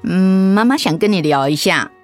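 An actress playing a gentle, hesitant middle-aged mother in a radio drama. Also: medium pitch, lively intonation, normal speed, faint music behind, lightly acted. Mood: gentle, reassuring. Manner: gentle, hesitant, conversational with soft fillers.

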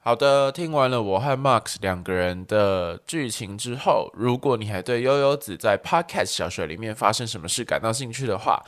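A man's voice: mid-range voice, lively intonation, normal speed, hardly any pauses. A man with a Taiwanese accent hosting a podcast, explaining things in a casual way. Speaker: podcast host.

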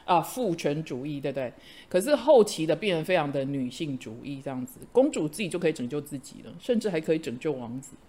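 A middle-aged woman, a host and teacher, chatting casually and telling lively stories with frequent laughter. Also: deep voice, quick pace. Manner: animated storyteller, laughs often. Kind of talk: casual chat.

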